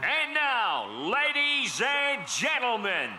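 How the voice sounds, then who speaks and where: An excitable cartoon ring announcer, pitched very high and stretching words out like a showman. The voice is bombastic and rings with echo, as if from across an arena. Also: full character voice. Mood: grand, hyped. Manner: booming, drawn-out showman delivery. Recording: echoey, distant arena sound, music behind.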